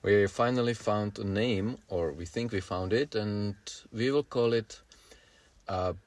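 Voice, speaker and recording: A male podcast co-host in his forties with a Czech accent and Czech as his first language. His voice is low and his pace very slow, with hesitant pauses as he puts his thoughts together in casual conversation. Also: darkish tone, no echo. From Czech Republic. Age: 40s.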